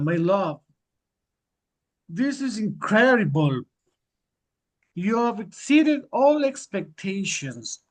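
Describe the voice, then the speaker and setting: Brief: doting husband in a story drama, male actor, high pitch, slow gushing delivery. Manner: gushing, affectionate. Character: adoring, enthusiastic husband praising his wife.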